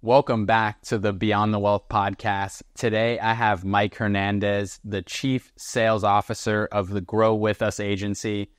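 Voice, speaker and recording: A man's voice: fairly low pitch, flat delivery, measured pace, few pauses, clean tone, no echo, studio quality. A young man from Miami hosting a podcast, introducing his guest and asking questions in a small room on a lapel mic. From Miami, USA. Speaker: podcast host. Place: small room with armchairs, indoors.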